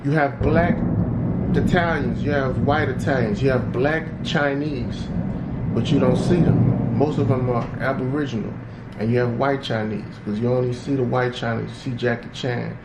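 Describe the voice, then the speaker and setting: Gravelly, laid-back man in a noisy room. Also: medium pitch, fairly even intonation, normal speed. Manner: laid-back, repeats "you know what I'm saying". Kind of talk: casual podcast chat. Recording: echoey room with loud background noise.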